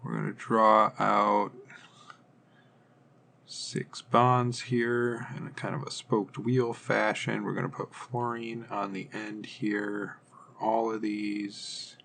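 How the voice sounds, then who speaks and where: A man's voice: fairly low pitch, level tone, takes it slowly, vocal fry, sharp tone. A man teaching chemistry in an informal, step-by-step lecture style, methodically thinking aloud as he works through things.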